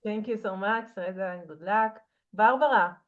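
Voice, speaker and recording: A woman's voice: mid-range voice, normal speed. Woman moderating over dull call audio. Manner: friendly, brief thanks between speakers. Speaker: course moderator. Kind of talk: calling on participants in an online class.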